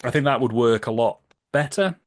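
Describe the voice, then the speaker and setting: A man's voice: mid-range voice, moderate pace, dry recording, studio quality. A British man chatting as a podcast guest, explaining things in a wry, humorous, chatty way. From United Kingdom. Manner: chatty, humorous, wry, often laughing as he talks. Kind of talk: casual podcast discussion, explaining.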